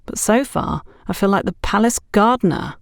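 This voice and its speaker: A woman's voice: medium pitch, expressive, normal speed, gravelly, no echo, studio quality, voice pushed up. A woman voicing an exasperated young saleswoman in an audiobook, complaining with dramatic indignation about a scrounging boyfriend. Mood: aggrieved, complaining. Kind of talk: audiobook character dialogue.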